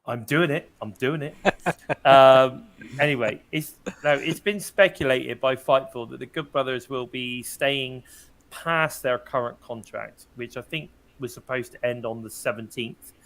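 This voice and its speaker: British male podcast co-host, mid-pitched, a bit croaky today, relaxed and chatty. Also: unhurried. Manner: chatty, leads the discussion and hands over to others. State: slightly croaky, hoarse. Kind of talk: casual wrestling podcast chat.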